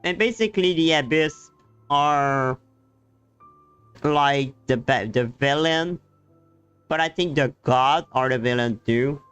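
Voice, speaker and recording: Man, gaming streamer, crisp clean voice, casual commentary, halting non-native English phrasing, light game music behind. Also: a little high, fairly bright tone. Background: faint game music.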